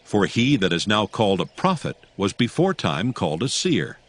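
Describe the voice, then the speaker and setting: A man narrating scripture from a script in a measured storytelling manner. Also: on the low side, sing-song.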